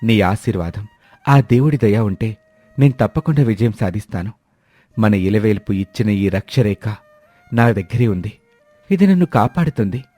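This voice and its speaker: A man's voice: on the low side, lively intonation, moderate pace, pauses often, dark tone, no echo. A man voicing a brave, kind young village farmer who speaks earnestly and reassuringly in a storybook tale. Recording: dry, faint music behind.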